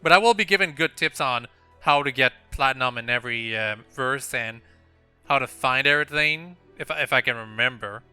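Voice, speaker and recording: A man's voice: mid-range voice, normal speed, pushed and bright, clear, resonant tone, no echo. A man hosting a Let's Play, giving casual, off-the-cuff commentary on a video game. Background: game music.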